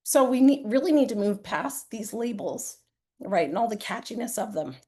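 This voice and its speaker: A woman presenting at a conference session, hoarse from a cold and often clearing her throat, talking earnestly and conversationally with frequent fillers. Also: a little high, animated, normal speed, a little mellow. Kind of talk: live-streamed conference talk.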